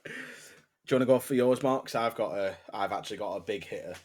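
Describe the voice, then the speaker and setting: A man with a lowish voice co-hosts a laid-back podcast chat. He jokes around, swears freely and often breaks into laughter, with a faint hiss of noise behind him. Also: a little fast, darkish tone. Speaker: podcast co-host. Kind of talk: casual banter.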